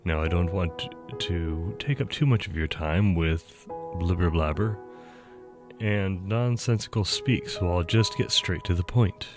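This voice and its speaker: A man with a stuffy nose voicing a polite, earnest male examiner in an office, over loud game music. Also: sits low, a little slow. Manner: courteous, earnest, insistent.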